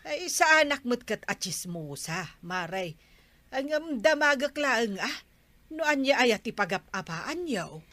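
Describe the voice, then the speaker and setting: An actress playing a nosy, teasing neighbourhood gossip with an Ilocano accent in a radio comedy. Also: female voice, a little high, big pitch swings, unhurried, pushed and bright, dry recording, heavily acted.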